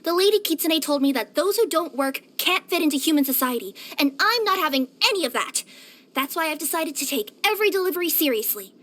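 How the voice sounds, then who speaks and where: A woman voicing a cheerful, curious young female cat yokai in a video game, with a light girlish voice and an expressive, chatty delivery. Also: sits high, fairly quick. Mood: determined. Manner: expressive, eager, chatty, wistful at times. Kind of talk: scripted game character voice-over.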